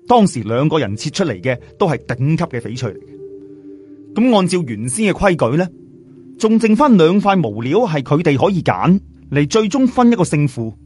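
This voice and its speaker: A man tells a story in Cantonese-accented speech over faint music. His pitch moves in lively swings, and he slips easily between scripted narration and chatty, explanatory asides. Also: normal speed. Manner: conversational, explanatory. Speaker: audiobook storyteller.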